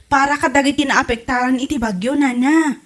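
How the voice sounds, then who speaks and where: Woman acting an eager high-voiced Ilocano neighbour. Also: fairly even intonation, a little fast, lightly acted. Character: eager, reassuring village neighbour bearing news. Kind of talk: Ilocano comedy drama podcast acting.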